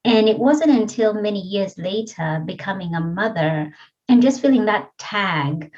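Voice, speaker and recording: A woman's voice: medium pitch, animated, unhurried, darkish tone, lo-fi sound. A middle-aged woman from Kenya with a Kenyan accent, a podcast guest telling her story earnestly and reflectively, with many fillers. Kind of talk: interview conversation.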